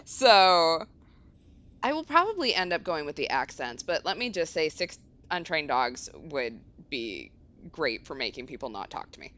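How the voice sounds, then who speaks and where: Woman, native Japanese speaker, low-ish voice, wry deadpan jokes, casual stream chat. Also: moderate pace, slight background noise. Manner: dry, wry humor with frequent laughs. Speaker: co-host streamer.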